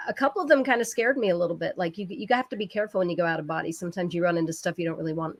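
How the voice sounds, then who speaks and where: A woman co-hosting a casual talk show, chatty and halting, saying she is losing her voice. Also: fairly low pitch, no echo. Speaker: co-host.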